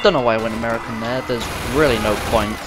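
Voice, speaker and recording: A young man, slightly croaky and sniffly, rambling casually to the viewer as he plays and comments on a game. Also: mid-range voice, fast, background noise. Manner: rambling, chatty, talks straight to the viewer. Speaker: gamer recording gameplay commentary. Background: game music and sounds.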